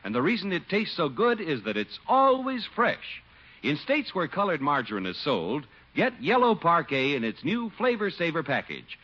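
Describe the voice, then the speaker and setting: A man's voice: fairly high pitch, fairly bright tone. A man announcing in a polished, emphatic 1950s radio sales style, reading from a script. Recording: dull, husky-sounding old radio recording.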